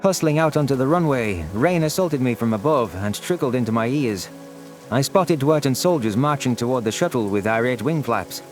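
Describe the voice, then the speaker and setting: Mid-pitched man narrating with faint music. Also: expressive, moderate pace. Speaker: narrator of audio stories. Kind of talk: scripted first-person story narration.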